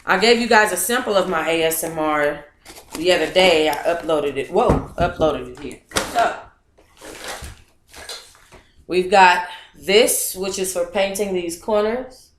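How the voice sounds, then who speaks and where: A woman with a fairly low voice reads aloud in a casual, expressive way, sometimes breaking off to chat with her listeners. The room around her is echoey. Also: unhurried, background noise. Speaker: book club host reading aloud. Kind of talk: casual reading of a memoir, then chat with viewers.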